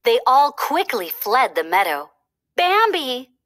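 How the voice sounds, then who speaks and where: Female storybook narrator: bright voice, very animated pitch, deliberate children's read-aloud.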